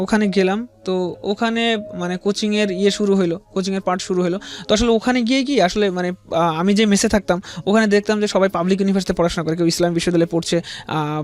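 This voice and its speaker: Young Bangladeshi male guest, fairly high voice, hesitant and respectful, circling back over his words as he recounts his life. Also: fairly even intonation. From rural Bangladesh. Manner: halting, repetitive, deferential. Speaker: interview guest. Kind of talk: personal life story told in interview.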